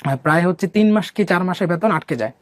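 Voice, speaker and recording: A young man from Bangladesh, an interview guest telling his life story softly and hesitantly, with many pauses and fillers. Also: high-pitched, animated, dry recording. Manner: soft-spoken, hesitant, many fillers and restarts.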